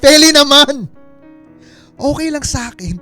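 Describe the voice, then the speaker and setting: A woman's voice: high-pitched, animated, a little slow, pushed and bright, soft background music, heavily acted. A woman acting a hurt, suspicious Filipino husband in a radio drama, pleading and reproachful. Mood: accusing, pleading. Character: wounded, suspicious husband, a struggling small-shop mechanic.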